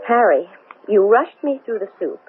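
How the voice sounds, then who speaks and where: A woman's voice: mid-range voice, sing-song, moderate pace, natural voice. A woman playing a determined, wry, weary astronaut in a 1970s radio drama, with emphatic theatrical delivery. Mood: wry, hurt. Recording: noisy lo-fi 1970s radio broadcast.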